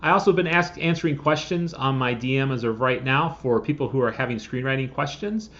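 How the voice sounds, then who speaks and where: A man's voice: mid-range voice, a little fast, a bit bright. A man in his forties giving a casual, explanatory review to camera as a video host, recorded in a room at home. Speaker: host of a screenwriting software review channel. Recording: slightly dull webcam audio.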